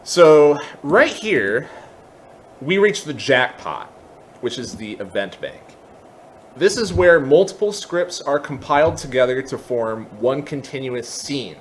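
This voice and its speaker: A man hosting a casual software walkthrough, explaining in an informal, lightly self-deprecating way. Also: medium pitch, expressive, slow, a little hiss or hum. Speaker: tutorial host.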